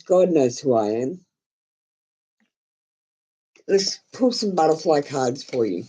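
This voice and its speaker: A woman's voice: deep voice, animated, slow, warm tone, husky. A woman giving a casual live tarot reading, offering blunt, informal advice with some swearing. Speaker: tarot reader and live stream host.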